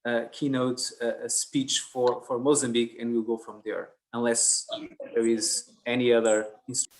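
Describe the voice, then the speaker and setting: A man moderating an online conference panel, talking in a halting way with many fillers and restarts. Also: mid-range voice, little pitch movement, low-quality recording.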